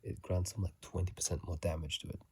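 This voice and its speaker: A man doing whispered ASMR commentary on a video game, soft and breathy, musing in a halting, casual way, close to a phone mic. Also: sits low, level tone, no room sound. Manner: halting, musing, unhurried delivery. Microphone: close phone microphone.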